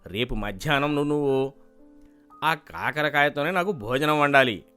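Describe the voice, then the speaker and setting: A man's voice: on the high side, normal speed, sharp tone, smooth, faint music behind. A man playing a gluttonous, short-tempered landlord with a pompous, commanding manner in a storybook cartoon. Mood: angry. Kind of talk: animated storybook dialogue.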